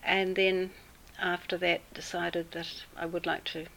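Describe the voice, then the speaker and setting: Interviewee: a fairly low-voiced New Zealand woman, relaxed storytelling with fillers, faint noise behind. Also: creaky, poor audio. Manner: unhurried, with many fillers and pauses. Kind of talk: casual storytelling.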